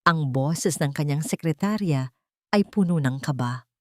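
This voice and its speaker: Woman narrating a dramatic story, kinda low voice, really dry sound. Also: expressive, measured pace, no echo.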